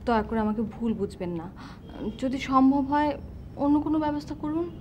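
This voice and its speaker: A shy young woman in love, with a high voice. She sounds coy and nervous, and the room around her is echoey. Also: animated, moderate pace, darkish tone, lo-fi sound, a little hiss or hum. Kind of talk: TV drama acting.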